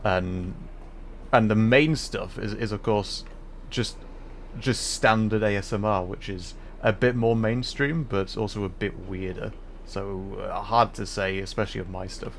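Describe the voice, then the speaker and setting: A man's voice: lowish voice, measured pace. A man livestreaming a video game, giving casual, rambling commentary with hesitant, amused asides. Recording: noisy stream with game ambience.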